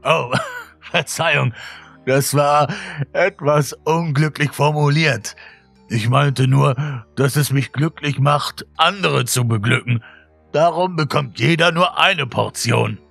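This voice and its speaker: A man voicing a sinister, smiling dealer of happiness, with a sweetly polite, slow and menacing manner in a horror story. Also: fairly high pitch, creaky, a bit bright, no echo, faint music behind, pitched above the performer's own voice, heavily acted. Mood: falsely sweet.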